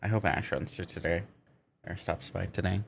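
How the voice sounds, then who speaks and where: A man's voice: low voice, steady tone, moderate pace, creaky, a little hiss or hum. A man streaming a game and chatting casually with viewers, rambling and often searching for his words. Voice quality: cannot roll R's. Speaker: game livestreamer. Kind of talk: casual livestream chat.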